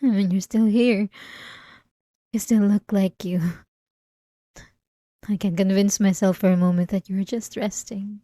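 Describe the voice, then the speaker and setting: A woman's voice: mid-range voice, fairly even intonation, measured pace, long pauses, mellow, no echo, studio quality, natural voice. A woman playing an unhinged, possessive and obsessive wife in a dramatic ASMR roleplay, intense and swinging between pleading and menace. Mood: unsettled, delusional. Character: unhinged possessive, obsessive young wife confronting her husband.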